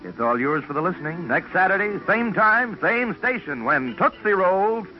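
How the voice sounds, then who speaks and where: A man's voice: high-pitched, expressive, moderate pace. A man announcing dramatically and emphatically, in the style of a 1940s radio promo. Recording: muffled 1940s radio broadcast.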